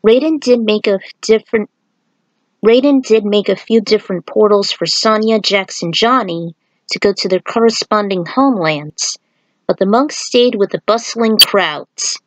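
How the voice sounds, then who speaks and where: A woman's voice: medium pitch, moderate pace, dry recording, rough recording. A woman reading scripted fan fiction aloud as the narrator of a story.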